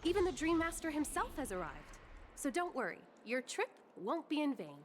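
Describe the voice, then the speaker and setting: A woman's voice: high-pitched, very animated, normal speed, a little hiss or hum. A woman voicing a polite, dutiful hotel security chief, formal and reassuring, in a video game. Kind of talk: video game dialogue acting.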